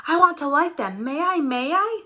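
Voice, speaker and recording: Woman as an eager, high-pitched storybook child. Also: lively intonation, normal speed, bright, forceful tone. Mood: eager, pleading. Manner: eager, questioning. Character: young child narrator, eager, curious, pleading.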